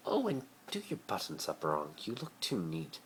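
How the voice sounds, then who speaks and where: Cheeky, teasing teen lad, acted by a man, low voice, story dialogue, slightly noisy phone recording. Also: huge pitch swings, normal speed, fairly bright tone, exaggerated voice. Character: cheeky, teasing teenage boy, nervous bluffer.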